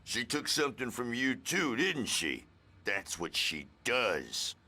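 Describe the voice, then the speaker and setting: An actor playing a rowdy, belligerent, crazy old rock-and-roll man in a video game, with a gravelly voice and blustering, erratic delivery. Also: medium pitch, sing-song, slow pace, bright, forceful tone, exaggerated voice. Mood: bitter. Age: older. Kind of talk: video game character acting.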